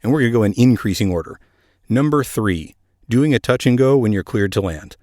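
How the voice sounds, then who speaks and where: A man's voice: medium pitch, expressive, fairly quick, darkish tone, no echo, studio quality. A man hosting a podcast, delivering scripted narration in a conversational way with wry asides. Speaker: podcast host; air traffic controller.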